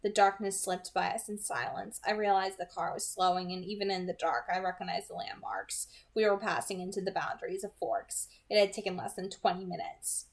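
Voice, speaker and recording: A woman's voice: mid-range voice, fairly quick, few pauses. A woman narrating a novel aloud as an amateur audiobook reader.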